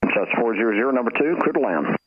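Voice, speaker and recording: A man working as an air traffic controller, giving clipped clearances over aviation radio. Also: mid-range voice, expressive, racing. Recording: muffled, rough aircraft radio transmission.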